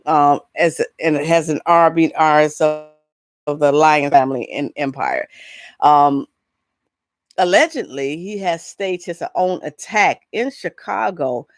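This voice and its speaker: A woman hosting a casual entertainment vlog, talking in a rambling, halting, informal way. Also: low voice, no echo, clean studio sound. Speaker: vlog host. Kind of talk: casual chat, entertainment gossip.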